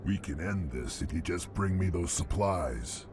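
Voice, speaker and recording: Gravelly thug, deep and swinging in pitch, music behind. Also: male voice, expressive, unhurried, background noise. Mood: menacing. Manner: threatening, curt. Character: thuggish male blackmailer, menacing. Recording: game audio with music.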